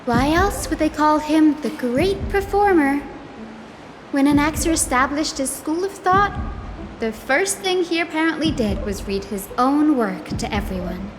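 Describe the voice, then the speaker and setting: A woman voicing an inquisitive young adventurer in a fantasy video game, with an expressive, conversational, playful delivery. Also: sits high, a little slow, noisy background. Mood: storytelling. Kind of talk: video game character dialogue and storytelling. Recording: studio voice over game music. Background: game music.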